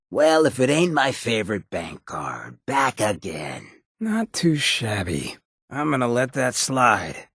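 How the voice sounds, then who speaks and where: Game role: cool outlaw ghoul mayor, American, very husky rasp, lively swoops in pitch, slangy swagger. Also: male voice, dry recording, clean studio sound, full character voice. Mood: cool, wry. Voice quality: raspy, gravelly. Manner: swaggering, laid-back, slangy streetwise drawl. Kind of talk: video game character dialogue.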